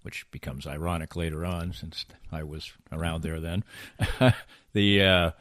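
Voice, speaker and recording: A man hosting a podcast, asking long, measured questions. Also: deep voice, fairly even intonation, fairly bright tone, dry recording, clean studio sound. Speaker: podcast host. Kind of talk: interviewing.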